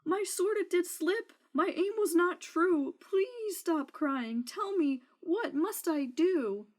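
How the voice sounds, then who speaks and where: A woman's voice: very high-pitched, animated, slow, a little mellow, pitched above the performer's own voice, exaggerated voice. A woman voicing a frantic, apologetic male knight in a children's storybook, pleading and exclaiming.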